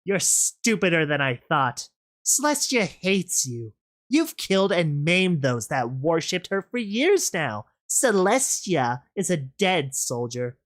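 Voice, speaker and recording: A woman's voice: lowish voice, big pitch swings, takes it slowly. A woman voicing a cruel, arrogant female pegasus tyrant in a story, with a guttural, taunting, menacing delivery. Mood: cruel mockery. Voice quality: guttural, growling.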